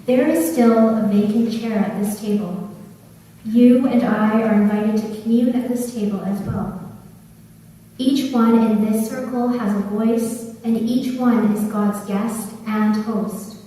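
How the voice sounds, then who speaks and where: A woman calmly reading a liturgy in a large church. Her voice is fairly high and mellow. She is heard from far back with long echoes trailing each phrase. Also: slow. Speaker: worship leader. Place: church sanctuary.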